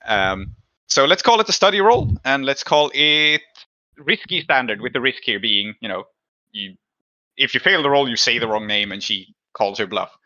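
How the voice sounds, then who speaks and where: Very bright-voiced man running a tabletop game, muffled recording. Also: mid-range voice, animated, normal speed. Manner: explanatory, checking in with players. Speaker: game master, host. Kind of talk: tabletop game narration and rules talk.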